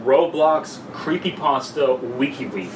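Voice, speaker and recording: A man's voice: medium pitch, lively intonation, a little slow. A man co-hosting a podcast in a blunt, profane, rambling way, often repeating himself as he discusses things casually. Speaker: podcast co-host. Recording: echoey, noisy room, distant mic.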